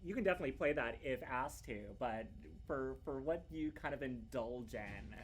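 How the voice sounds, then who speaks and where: A woman's voice: very deep voice, moderate pace, soft background music. A woman hosting a casual chat while signing prints, friendly and teasing, laughing often. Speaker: voice actress and stream host.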